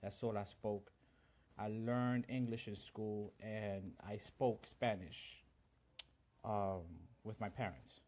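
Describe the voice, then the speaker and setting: A man's voice: lowish voice, steady tone, slow pace, a little mellow. A man from Honduras whose first language is Garifuna, hosting a casual live-stream chat, earnest, greeting viewers by name and mixing in Spanish greetings. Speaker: livestream host.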